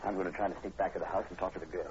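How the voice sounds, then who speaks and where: An actor playing a tough, suspicious police sergeant with a clipped, commanding manner in a 1940s radio horror drama. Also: male voice, deep voice, steady tone, very fast, natural voice. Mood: urgent, guarded. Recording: muffled, noisy 1940s radio recording.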